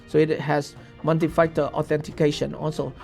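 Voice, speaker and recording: A young man whose first language is Vietnamese, giving a step-by-step screen-share software tutorial and checking in with frequent "okay?" asides. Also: fairly high pitch, little pitch movement, brisk, gravelly, faint music behind. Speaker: tutorial host.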